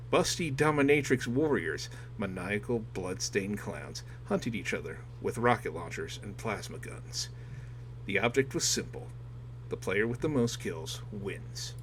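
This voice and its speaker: A man's voice: fairly low pitch, fairly bright tone, a little hiss or hum. A man reading a nonfiction book aloud as dramatic scripted narration. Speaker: audiobook reader.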